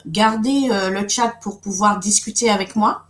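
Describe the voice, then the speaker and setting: A woman's voice: medium pitch, normal speed. Woman hosting a webinar, echoey room. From Guadeloupe. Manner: friendly, many fillers and restarts. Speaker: webinar host and coach. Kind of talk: live webinar talk.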